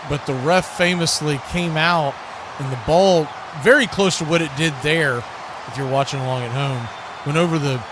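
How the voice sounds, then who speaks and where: A man with a fairly high voice calls a football game live. He gets excited on the plays and turns conversational between them. The stream has clear noise behind him. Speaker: play-by-play host of a football watch party. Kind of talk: live play-by-play commentary with casual asides.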